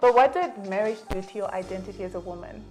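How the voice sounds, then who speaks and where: A young Zambian woman hosts a candid conversation, chatty and easygoing, laughing often and filling pauses with "you know" and "like". Her voice sits at an ordinary middle pitch, with soft music faintly underneath. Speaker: podcast host.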